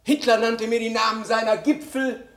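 A man performing a literary monologue as an obsequious, scheming Swiss envoy, formal, deliberate and ingratiating. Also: extremely high pitch, little pitch movement, fairly bright tone, roomy sound. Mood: reverent. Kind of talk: literary monologue, measured narration.

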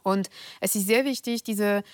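Guest: French-accented woman, deliberate with hesitations, dry studio. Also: mid-range voice, smooth, no room sound. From France. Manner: measured and deliberate, with frequent hesitations. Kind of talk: thoughtful interview answers.

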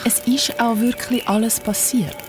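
Casting: actress as earnest young woman, higher-pitched, natural radio-drama dialogue over a music bed. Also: steady tone, moderate pace, natural voice. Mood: calm, pensive. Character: earnest young woman, mysterious member of campfire friend group. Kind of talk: audio drama acting in natural conversation.

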